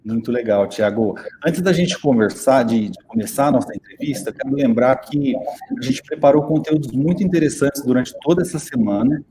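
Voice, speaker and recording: Mellow-voiced man hosting an interview. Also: mid-range voice, moderate pace, low-quality recording. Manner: hesitant, repeats words, many "né" and "é" fillers. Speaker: interview host. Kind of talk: hosting a virtual student talk. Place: virtual studio.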